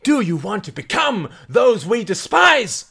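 A man's voice: sits high, expressive, unhurried, fairly bright tone, voice pushed up, heavily acted. A man voicing a fiery, defiant rebel woman who preaches to rouse a mob in declamatory audiobook oratory. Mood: challenging, preaching. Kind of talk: audiobook character acting.

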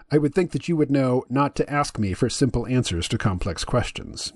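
Man, deep voice, podcast host, measured and dry delivery, mid pitch, dry close sound. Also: animated, a little mellow, no room sound. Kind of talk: reading a dialogue aloud.

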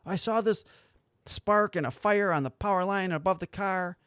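Man doing a huffy Polish-accented woman caller, broken English, pitched up. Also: moderate pace, fairly bright tone, smooth, no room sound, studio quality, lightly acted. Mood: indignant. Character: irritated foreign woman caller, halting English.

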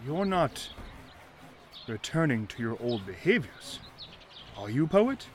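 Kindly shantytown mayor, lively swooping pitch, florid formal wording, fretful, 1930s radio drama, faint background noise. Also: male voice, slow pace. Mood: hesitant, worried. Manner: florid formal old-fashioned wording, stammers when worried. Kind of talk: 1930s noir audio drama acting.

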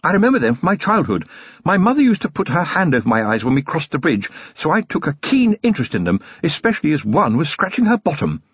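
A man's voice: fairly high pitch, huge pitch swings, fast talker, slightly rough, no echo, highs cut off, pitched above the performer's own voice, full character voice. A man voicing a genteel noblewoman with a refined, gushing upper-class lilt. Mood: fond. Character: genteel noblewoman, warm and affectionate.

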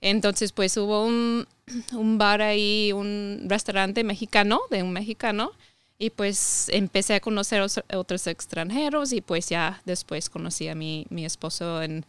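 American woman, very clear voice, speaking Spanish. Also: medium pitch, measured pace, dry recording. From United States (Ohio). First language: English. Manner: hesitant, many fillers and restarts. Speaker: podcast guest. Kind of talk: casual interview conversation.

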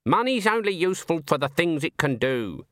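A man voicing a stern, haughty old woman witch in an audiobook, clipped and deadpan. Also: on the high side, moderate pace, no echo, studio quality, voice pushed up. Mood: haughty, curt. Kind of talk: audiobook character acting.

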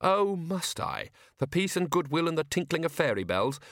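A man voicing an exasperated, sharp-tongued young woman in an audiobook, challenging and indignant. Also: medium pitch, very animated, a little slow, no room sound, studio quality, pitched above the performer's own voice.

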